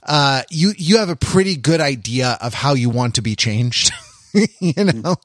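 A man in his forties with a mid-pitched voice, recorded very dry. He talks like a podcast co-host thinking out loud, casual and analytical. His delivery is halting and discursive, as he keeps breaking off and restarting his own sentences. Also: animated, no echo. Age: 40s. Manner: halting, discursive, self-interrupting.

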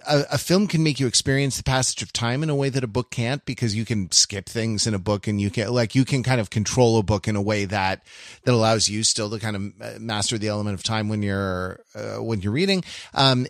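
A man co-hosting a podcast, discussing film casually and analytically in a halting way, often repeating words as he works out his ideas. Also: lowish voice, no echo, studio quality. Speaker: podcast co-host.

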